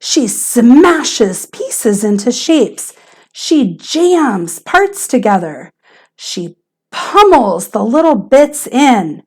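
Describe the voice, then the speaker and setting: A woman teacher reading a children's picture book aloud in a theatrical, expressive way with emphasis on key words. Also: fairly high pitch, extremely slow, husky.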